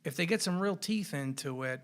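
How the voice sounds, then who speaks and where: A man's voice: on the high side, lively intonation, a little fast, a bit bright. A man hosting a sports podcast, giving commentary in a punchy, colloquial way with showy flourishes. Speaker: podcast host.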